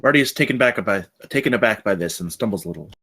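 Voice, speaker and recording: A man's voice: on the low side, animated, fast talker, a bit bright, slightly rough. A man narrating stage directions from a script at a live table read.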